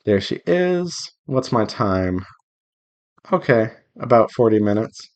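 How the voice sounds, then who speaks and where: A man's voice: fairly low pitch, very animated, slow pace, dull audio. A man chatting casually to viewers as he works, thinking aloud in a rambling, self-reassuring way. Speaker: visual novel developer hosting a draw-along devlog. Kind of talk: casual solo chat while drawing.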